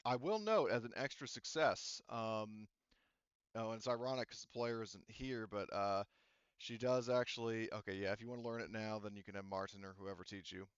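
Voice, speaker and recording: A man running a tabletop roleplaying game as game master, speaking casually and haltingly as he improvises details. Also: on the low side, smooth, no echo, dull audio. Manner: halting, hesitant delivery as he improvises.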